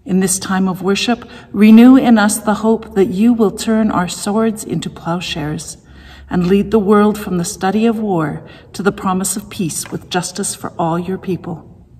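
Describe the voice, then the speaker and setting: Calm, husky woman leading worship. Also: medium pitch, long reverb tail. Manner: calm, measured liturgical reading. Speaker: worship leader. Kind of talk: leading a church service. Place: home room, indoors.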